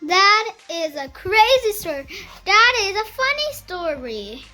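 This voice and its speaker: A child reading a picture book aloud in a careful, halting beginner's way, with music behind. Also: extremely high pitch, very animated, sharp tone.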